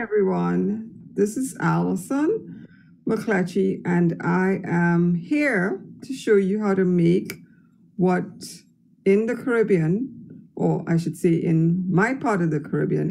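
A woman's voice: on the low side, vocal fry, a little mellow, rough recording. A woman, explaining a drink recipe step by step in a recorded cooking demonstration. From Trinidad and Tobago.

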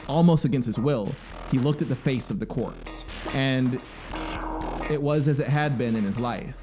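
A man in his thirties reads a literary story in a deliberate, dramatic way, drawing out key words into a close studio microphone. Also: medium pitch. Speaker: narrator and musician. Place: small home studio, indoors. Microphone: close studio microphone on boom arm. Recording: music behind the voice. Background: synthesizer soundscape music.

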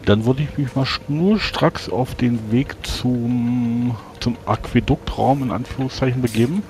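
A man's voice: mid-range voice, a little slow, a little mellow. A man casually describing his actions as a role-playing game player, tentatively thinking aloud. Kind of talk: casual tabletop play, describing own actions.

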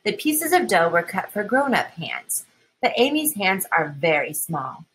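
A woman reading a children's picture book aloud in an expressive, deliberate way, leaning on key words. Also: medium pitch, slight background noise, rough recording. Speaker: storybook reader.

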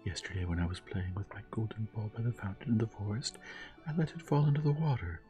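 A man's voice: fairly low pitch, fast, mellow, faint music behind, voice pushed up, close to an everyday voice. A man voicing a spoiled, fickle young princess in a fairy tale, with a light, airy delivery. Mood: anxious.

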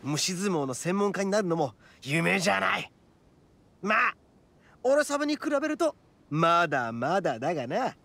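A man voicing a boisterous, cocky young male oni in an anime-style game, with brash, rough, boastful speech. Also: sits high, big pitch swings, measured pace, pushed and bright, exaggerated voice. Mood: impressed, proud. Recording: studio voice over game music. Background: background music.

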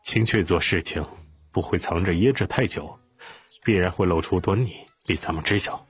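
Middle-aged royal, performed by a male narrator, calm, measured authority, deep husky voice, audiobook. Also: no room sound, faint music behind. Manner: measured, restrained. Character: calm, shrewd, commanding middle-aged prince.

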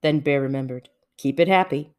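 A woman reading a children's story aloud with expressive narration. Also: extremely low pitch, a little fast. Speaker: storybook reader.

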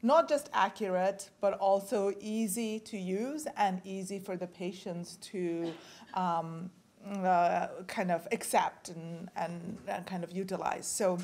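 A woman's voice: medium pitch, slow pace. A woman in her forties from Bangladesh giving an academic talk at a podium microphone, with frequent fillers and restarts. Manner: casual, many fillers and restarts. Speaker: guest lecturer. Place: lecture hall at a podium.

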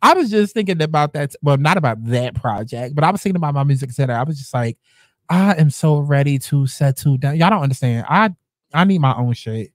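A young woman, reacting to clips in loud, brash, joking casual commentary, swearing often. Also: low-pitched, expressive, a little fast, no echo, clean studio sound.

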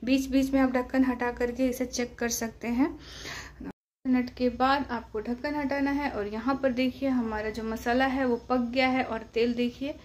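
A woman's voice: fairly high pitch, level tone, moderate pace. A woman with an Indian accent giving a step-by-step cooking tutorial voice-over as a home cook. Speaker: home cook presenting a recipe.

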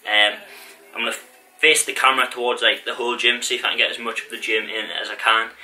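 A man's voice: medium pitch, level tone, fast talker, bright, forceful tone. A young man chatting casually to camera in a small home garage gym, rambling and self-deprecating, recorded on the camera's own mic. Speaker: fitness vlogger. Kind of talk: casual vlog talk to camera. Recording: noisy home camera audio.

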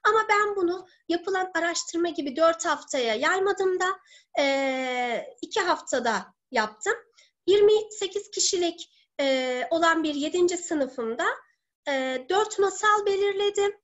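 A woman in her thirties, a teacher presenting in an online seminar from home, explaining step by step in a halting way. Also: very high-pitched, animated, dull audio. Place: living room at home. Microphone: laptop or webcam video call.

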